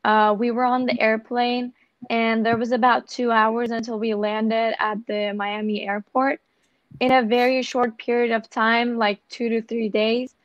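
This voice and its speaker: Teen girl from turkey telling her story, pretty high, even and earnest. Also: dry recording, highs cut off. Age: teens. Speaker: panelist. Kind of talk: personal account on a video call. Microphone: video call.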